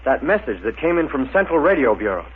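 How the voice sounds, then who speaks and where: A man's voice: medium pitch, very animated, quick pace, lightly acted. An actor playing a decisive, hearty young male space captain, plain-spoken and emphatic, in a 1930s radio serial. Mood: decisive, keen. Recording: muffled, noisy old 1930s radio.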